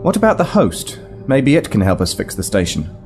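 A man's voice: lowish voice, huge pitch swings, normal speed, music in the background. A man playing a serious, questioning starship captain, direct and businesslike, in a sci-fi audio drama. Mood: concerned.

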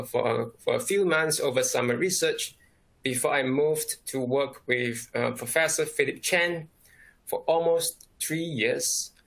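Man in his thirties, scientist presenting over webcam; gravelly, halting with fillers. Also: mid-range voice, a bit bright. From Singapore. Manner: measured, many "um" fillers. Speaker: chemist giving a symposium talk. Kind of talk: recorded academic talk. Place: indoor office.

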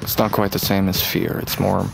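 A man's voice: deep voice, little pitch movement, normal speed, vocal fry, background noise. An actor playing a bitter, guilt-ridden, foul-mouthed young man in an audio drama. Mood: brooding, bitter. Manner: profane, brooding. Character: bitter, guilt-ridden young man, drug dealer, narrating his ordeal. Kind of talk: audio drama acting, first-person narration.

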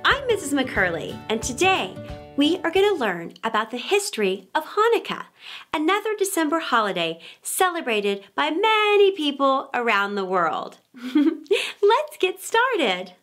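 A middle-aged woman hosting a children's read-aloud, speaking expressively and emphatically with dramatic pauses. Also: high voice, sing-song, a bit bright, soft background music. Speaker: children's storytime host.

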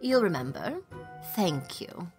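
A woman's voice: on the low side, very animated, slow, a little mellow, soft background music, heavily acted. Lady doing a snooty affected matron from an audiobook, pompous, bossy, voice swoops all over. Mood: haughty, indignant. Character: small, gaudily dressed, haughty, affected society matron. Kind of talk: audiobook character dialogue.

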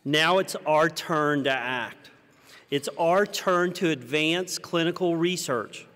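An American man in his fifties giving a prepared conference keynote at a podium, with measured, rhetorical delivery and deliberate pauses. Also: on the high side, a bit bright. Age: 50s. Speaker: keynote speaker. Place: large conference hall stage, indoors. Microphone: podium microphone. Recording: reverberant hall sound.

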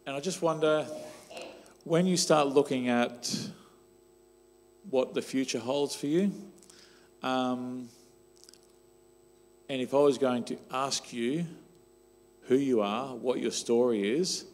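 A man's voice: mid-range voice, slow, pauses often, a little mellow. A middle-aged Australian man, a church leader interviewing a young member on a church stage through a handheld mic, conversational with "um" and "sort of". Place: church stage, large hall.

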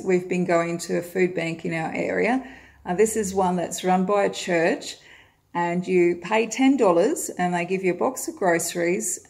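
Chatty Australian mum with a gravelly voice. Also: female voice, on the low side, darkish tone. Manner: friendly, chatty, with frequent "uh" fillers. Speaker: vlogger. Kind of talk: casual grocery haul vlog. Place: home, indoors, at a table of groceries.

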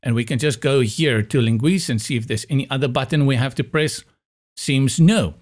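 An upbeat man in his forties whose mother tongue is Afrikaans walks viewers through a software setup. He speaks close to a large studio microphone in a small room with blinds, at a plain, mid pitch. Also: normal speed. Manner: upbeat, enthusiastic explainer. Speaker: web tutorial host. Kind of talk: screen-recorded software tutorial. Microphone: large studio mic in front of face.

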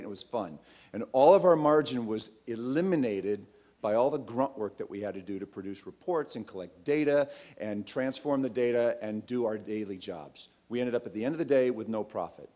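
A man in his thirties, a startup CEO, giving a loose, conversational pitch on a stage in a large auditorium, speaking into a stand mic with frequent ums and restarts. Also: darkish tone. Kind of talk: conference pitch talk.

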